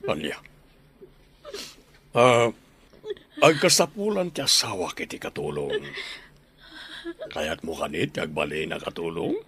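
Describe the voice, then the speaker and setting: A man playing a kindly, concerned stranger in an audio drama, asking gently in a northern Philippine accent. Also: deep voice, big pitch swings, unhurried, long pauses, exaggerated voice. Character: concerned, kindly male stranger offering work.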